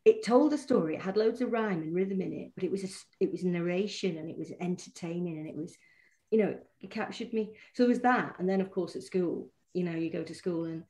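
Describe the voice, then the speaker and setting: A woman's voice: fairly low pitch, warm tone. A middle-aged woman with a Mancunian accent, a poet chatting in an interview with many restarts and fillers. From Manchester, England. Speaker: poet and guest. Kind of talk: casual interview chat.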